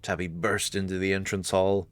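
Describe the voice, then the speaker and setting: A man's voice: deep voice, flat delivery, moderate pace, noisy background. A man reading scripted visual novel narration as a dramatic storyteller. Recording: soft music behind the voice.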